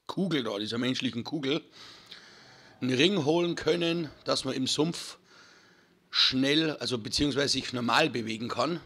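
A hoarse man talks through a video game in a relaxed, chatty way, calling his viewers friends. His voice has a bright, forward edge despite the hoarseness. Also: mid-range voice. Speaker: gaming channel host. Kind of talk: casual let's-play commentary.

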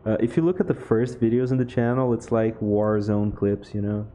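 A young man from Brazil, a Portuguese speaker with a Brazilian accent, chatting casually over gameplay, relaxed and laughing often. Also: fairly low pitch, normal speed. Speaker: gaming YouTuber.

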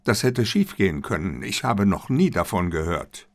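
A man's voice: low voice, sing-song, moderate pace. A man voicing an earnest, inquisitive, sceptical companion to a detective in an audiobook. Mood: probing. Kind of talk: audiobook character dialogue.

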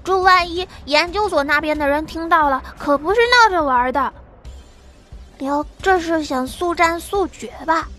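An actress playing a sharp, calculating female strategist in an audio drama, wry and analytical. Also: very high voice, moderate pace. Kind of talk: Chinese audio drama acting. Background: background music.

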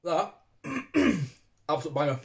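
A man's voice: on the high side, sing-song, takes it slowly, gravelly. A British man in his thirties giving a casual, chatty, informal review to camera, recorded on a phone in a room at home. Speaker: beer reviewer, vlog host. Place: small domestic room indoors. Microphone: phone propped at arm's length.

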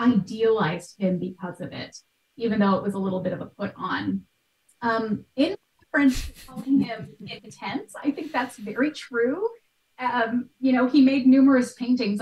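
A woman's voice: medium pitch, darkish tone. A woman, explaining things articulately and conversationally as a guest expert on a video call. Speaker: guest expert, art history podcaster. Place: small bright room at home. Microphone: video call, at some distance. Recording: roomy, distant microphone.

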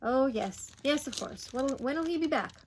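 A woman's voice: high-pitched, expressive, moderate pace, a little mellow, slight background noise, voice pushed up. Woman putting on a brusque, imperious old Duke. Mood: impatient. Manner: barking, impatient questions.

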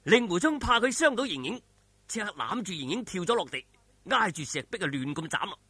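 A man's voice: high-pitched, big pitch swings, brisk, pushed and bright, slightly rough, no echo. A man with a Hong Kong Cantonese accent telling a martial arts story in a dramatic, colloquial way, with exclamations and vocal sound effects. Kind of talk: animated oral storytelling of a martial arts novel.

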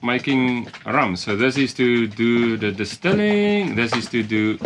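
A man's voice: mid-range voice, lively intonation, a little slow. A man in his forties in casual conversation, recorded by a camera in the covered open cockpit of a boat. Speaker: boat owner, vlog regular. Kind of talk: casual chat. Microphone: camera a few feet away. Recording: clear background noise and clicks, outdoors.

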